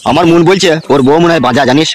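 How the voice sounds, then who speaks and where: A man's voice: on the high side, racing, natural voice. A man voicing a crude, bossy leader who teases his friend in a comedy cartoon. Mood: teasing.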